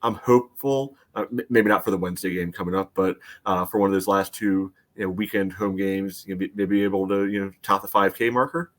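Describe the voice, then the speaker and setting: Male sports podcast panelist, lowish voice, conversational, hesitant and filler-heavy phrasing. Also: fairly even intonation, fairly quick, few pauses. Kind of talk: casual sports podcast discussion.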